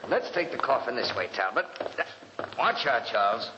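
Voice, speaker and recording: An actor playing a cold, domineering lord of a decaying house in 1940s radio horror, with an imperious, morbidly brooding manner. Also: male voice, medium pitch, very animated, normal speed, close to an everyday voice. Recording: muffled, noisy, cavernous 1940s radio recording.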